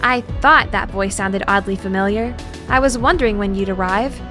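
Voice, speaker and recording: A woman playing a reserved, bookish young woman in an audio drama, polite and measured in dialogue and narration. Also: mid-range voice, close to an everyday voice. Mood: mild, hesitant. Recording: music behind the voice.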